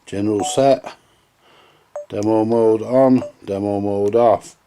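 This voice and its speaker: A man's voice: fairly low pitch, fairly even intonation, takes it slowly, dark tone, a little hiss or hum. A man with a British accent giving casual, grumbly, mildly sweary commentary to viewers while doing hands-on repair work. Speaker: host of a vintage radio repair vlog.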